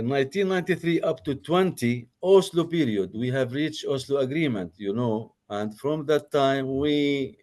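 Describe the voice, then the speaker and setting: Arabic accented man lecturing, lots of uh and restarts, average pitch. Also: a little mellow. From Gaza Strip, Palestine. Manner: halting, many fillers and restarts. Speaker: guest lecturer, professor. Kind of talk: academic lecture.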